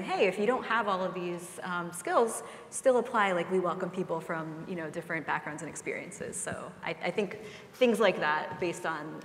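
A woman's voice: fairly low pitch, creaky, a bit bright, a little hiss or hum. A woman speaking as a panelist at a live conference discussion, casual and chatty. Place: large conference hall stage. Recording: roomy hall with long reverb.

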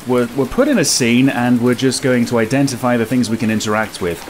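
A man's voice: medium pitch, brisk. A man in his thirties giving casual, wryly understated commentary as host of a gameplay video. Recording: very noisy game ambience behind.

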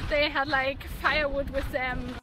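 A woman's voice: high voice, very animated, a little slow, poor audio. A young woman vlogging to a handheld camera on a mountain hike, chatty and laughing often. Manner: chatty, laughs often, restarts mid-sentence. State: out of breath while climbing. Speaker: hiking vlogger. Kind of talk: casual vlog to camera. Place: outdoors in a windy mountain valley. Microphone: camera held at arm's length.

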